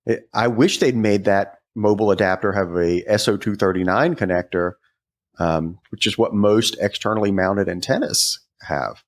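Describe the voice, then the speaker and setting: A man co-hosting a podcast, casually discussing a product in a halting way, as if working it out aloud. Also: fairly low pitch. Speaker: podcast co-host.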